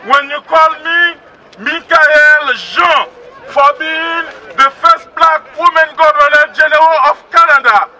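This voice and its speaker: A Haitian man, a teacher and poet, performs a call-and-response poem with a Haitian accent, stretching his phrases and shouting the refrains. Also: very high voice, poor audio. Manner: rhythmic, drawn-out phrasing, rising to shouts on the refrains. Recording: outdoor crowd and street noise.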